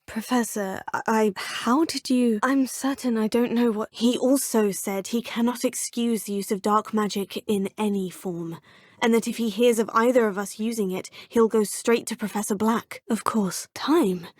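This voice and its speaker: A woman's voice: a little high, steady tone, darkish tone, no room sound, clean studio sound, lightly acted. A woman voicing a polite young student witch. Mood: measured. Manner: polite, formal, measured. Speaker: player character. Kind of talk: video game voice lines.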